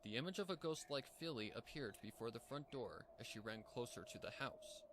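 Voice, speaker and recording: A man's voice: mid-range voice, animated, fairly quick. A man reading a scripted story as a dramatic narrator, with a noisy background. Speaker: fan fiction narrator.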